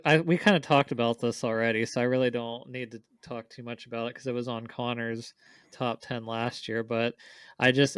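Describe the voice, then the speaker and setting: Enthusiastic guy, clean smooth voice. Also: mid-range voice, fairly bright tone, dry recording. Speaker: podcast co-host. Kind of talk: casual chat about favorite games.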